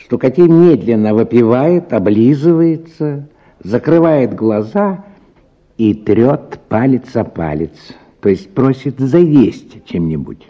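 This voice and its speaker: A man narrating a short story in an expressive, scripted radio drama style. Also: medium pitch, slow pace, highs cut off.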